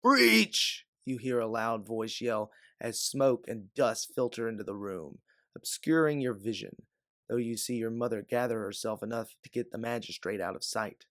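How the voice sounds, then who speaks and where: A man's voice: fairly low pitch, fairly even intonation, moderate pace, no room sound, clean studio sound. A man storyteller reading dramatic second-person scripted narration.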